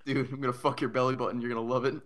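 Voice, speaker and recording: A man co-hosting a podcast, tossing out loud, brash, crude jokes and acted lines. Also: mid-range voice, flat delivery, racing. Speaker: podcast co-host. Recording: very noisy, clicks.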